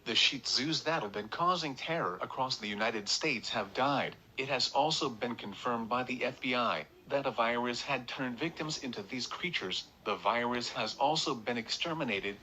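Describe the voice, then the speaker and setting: A man reading scripted emergency broadcast announcements in a formal, deliberate manner. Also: medium pitch, pushed and bright, a little hiss or hum, low-quality recording.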